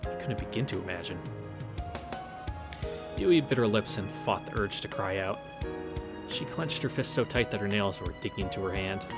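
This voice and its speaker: Low-voiced man reading with irreverent asides. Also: lively intonation, normal speed, long pauses. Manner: irreverent, profane. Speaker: streamer reading a visual novel aloud. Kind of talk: read-through with casual asides. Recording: music behind the voice. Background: game music.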